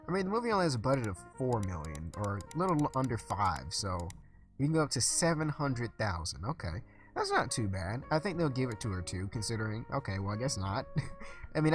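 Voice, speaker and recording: A young man with a stuffy voice casually commenting while playing a management game, thinking aloud with lots of fillers. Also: medium pitch. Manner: chatty, thinks aloud with frequent uh and restarts. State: stuffy, recovering from illness. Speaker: let's play host.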